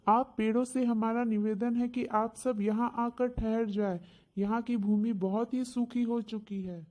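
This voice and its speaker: A woman acts out a simple villager in a children's storybook. The tone is mellow and polite, the manner of someone asking a favour. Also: a little high, fairly even intonation, unhurried, pitched below the performer's own voice, natural voice. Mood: polite, requesting.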